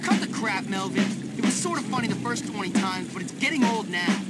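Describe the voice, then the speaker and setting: A man's voice: high voice, animated, fairly quick, loud music behind the voice. A young man playing a cocky, sarcastic teenage boy who boasts that he rules his school, in a video game cutscene. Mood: annoyed sarcasm.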